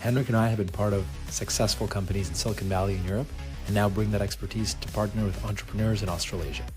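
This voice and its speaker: A male podcast host delivers a scripted introduction at a quick clip. His voice is on the low side, and guitar music plays clearly underneath. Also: fairly even intonation.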